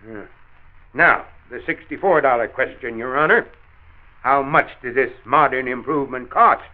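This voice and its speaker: A man's voice: medium pitch, normal speed, reverberant, close to an everyday voice. An actor playing an elderly, genial, dogged grandfather with a folksy, hesitant manner in a 1940s radio drama. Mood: genial, curious. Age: older. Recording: muffled, band-limited 1940s radio recording.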